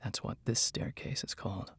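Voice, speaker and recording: A man voicing a sickly, cynical mage who speaks slowly and secretively in a menacing, hissing whisper. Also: fairly bright tone, no room sound, lightly acted. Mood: ominous. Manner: slow, deliberate.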